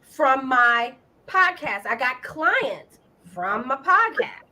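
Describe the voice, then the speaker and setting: A woman co-hosting a podcast who chimes in often with quick agreement. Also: high voice, sing-song, a loud crowd. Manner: frequent agreeing interjections. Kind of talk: conversational interview.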